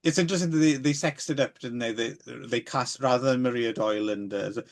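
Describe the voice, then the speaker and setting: A man's voice: mid-range voice, fast. A man co-hosting a podcast, chatting casually and rambling about films, with many hesitations and asides. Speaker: podcast co-host.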